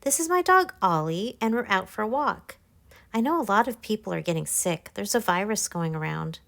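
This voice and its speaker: A woman's voice: mid-range voice, animated, normal speed, gravelly, a bit bright. A woman voicing a friendly, encouraging woman teacher who chats cheerily to a child in a storybook. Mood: cheerful.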